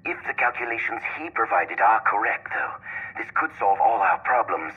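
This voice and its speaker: An actor playing a grandiose older imperial scientist dictating a formal research log in a video game, through a recording filter. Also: male voice, on the low side, animated, normal speed. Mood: triumphant. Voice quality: husky, raspy. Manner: formal, pompous. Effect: old recording filter. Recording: tinny, phone-like log playback.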